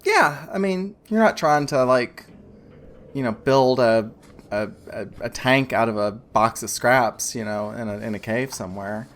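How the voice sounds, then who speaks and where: A woman's voice: very deep voice, animated, measured pace. A woman narrating as game master in a tabletop game, talking loosely with many fillers and restarts. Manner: loose, hesitant, full of fillers.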